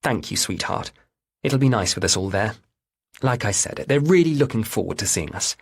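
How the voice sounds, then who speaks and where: A man's voice: lowish voice, lively intonation, normal speed, breathy edge, voice pushed up. A man narrating an audiobook and voicing a hesitant, coaxing wife and mother. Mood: soothing.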